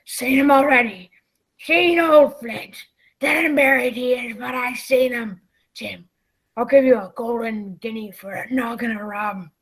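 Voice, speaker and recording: A woman playing a gruff, drunken old sea captain with a raspy voice in a radio play. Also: on the high side, unhurried, poor audio. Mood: desperate, raving. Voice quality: raspy, gravelly.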